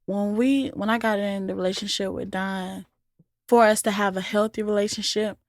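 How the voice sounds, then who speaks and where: A woman's voice: mid-range voice, fairly even intonation, moderate pace, no echo, clean studio sound. A woman hosting a podcast, talking earnestly and with emphasis about relationships. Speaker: podcast host. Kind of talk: casual chat.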